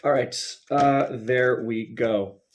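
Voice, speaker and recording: A man hosting a casual, rambling comedic video, speaking in a halting, digressive way with wry, mock-serious grand phrasing. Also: medium pitch, fairly even intonation, muffled recording, a little hiss or hum. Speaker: video host.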